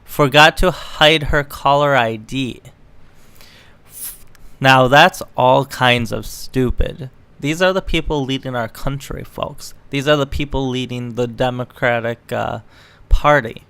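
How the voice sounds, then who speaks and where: A man's voice: mid-range voice, slow, sharp tone, clean tone, dry recording. A man reading news articles aloud and adding casual, sarcastic, opinionated commentary as an online political commentator. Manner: sarcastic, mocking.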